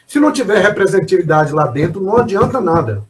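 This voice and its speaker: A man with a fairly high voice hosts a casual talk show. He speaks in a chatty way and keeps checking in with his guests through little tag questions. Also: big pitch swings, quick pace.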